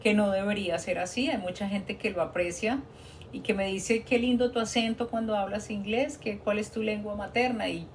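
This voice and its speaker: A woman's voice: medium pitch, steady tone, moderate pace, a little hiss or hum. A Colombian woman, a nurse working abroad, giving earnest, encouraging advice in a casual live stream chat, often restarting her sentences.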